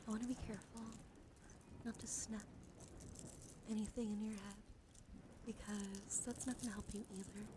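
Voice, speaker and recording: Woman, mid-pitched, for an ASMR livestream: soothing, casual, reassuring, recorded with noticeable ambient noise. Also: flat delivery, moderate pace, pauses often. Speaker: ASMR live streamer. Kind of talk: soft ASMR roleplay mixed with casual chat to viewers.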